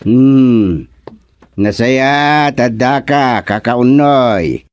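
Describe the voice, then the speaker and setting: A man plays an authoritative village chairman in a radio comedy. He speaks very slowly and firmly in a medium-pitched voice, recorded very dry. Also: darkish tone, clear, resonant tone, no echo. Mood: pleased, official. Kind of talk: Ilocano radio comedy drama acting.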